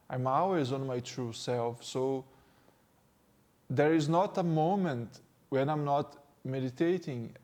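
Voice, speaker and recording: A man from Brazil with a Brazilian Portuguese accent, speaking English as a second language and giving a calm spiritual talk as a teacher, slow and gentle with pauses. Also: mid-range voice. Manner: slow, gentle, with pauses and non-native phrasing. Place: sitting indoors.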